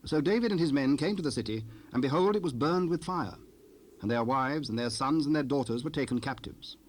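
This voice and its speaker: A man with a mid-pitched voice narrates scripture, measured and solemn. A faint layer of cassette noise runs underneath. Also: animated. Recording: faintly noisy 1970s cassette rip.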